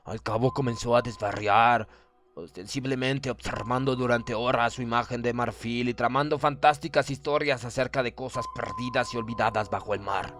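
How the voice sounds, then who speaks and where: A man narrating a horror audiobook in the first person, reading solemnly and deliberately with theatrical emphasis. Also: mid-range voice, fairly even intonation, fairly bright tone. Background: music.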